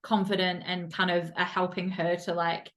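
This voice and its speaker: A woman's voice: fairly low pitch, level tone, moderate pace, fairly bright tone. A woman hosting a podcast, chatting casually with a guest and laughing often, with many "like" fillers. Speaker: podcast host. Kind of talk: casual interview chat.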